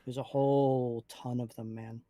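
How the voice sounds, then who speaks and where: A man's voice: medium pitch, flat delivery, normal speed, warm tone, no room sound. A man chatting casually on a live stream in a laid-back way. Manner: laid-back, brief interjections.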